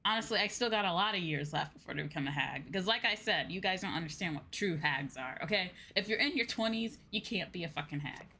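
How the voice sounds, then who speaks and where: A woman's voice: fairly low pitch, expressive, fast, few pauses, sharp tone, slight background noise. A woman streamer chatting casually over a game, blunt, playful, profane and emphatic. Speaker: VTuber streamer. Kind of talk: casual game livestream chat.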